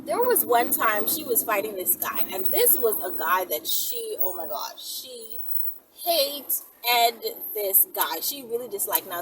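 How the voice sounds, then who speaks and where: Woman, 40s, chatty vlogger, very lively intonation, recorded away from the mic in a vehicle. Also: on the high side. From the Bahamas. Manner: chatty, playful, rambling with asides. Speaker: vlogger telling stories to viewers. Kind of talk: casual storytelling vlog. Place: inside a moving vehicle. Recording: distant mic, vehicle noise.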